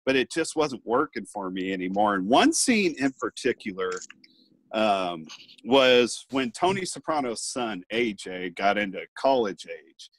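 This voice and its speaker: Low-voiced man rambling on a call. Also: big pitch swings, a little slow, a bit bright, dry recording. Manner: rambling, many restarts and fillers. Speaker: podcast host. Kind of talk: casual video-call chat. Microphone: earbud mic on video call.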